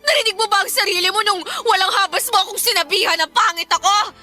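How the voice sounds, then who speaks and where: A woman's voice: very high-pitched, fast, pushed and bright, soft background music, heavily acted. A Filipino woman playing a guilty, defensive wife in an emotional Tagalog radio drama. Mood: angry, hurt. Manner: emotional, hesitant then heated. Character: guilty, defensive unfaithful wife pleading and lashing out.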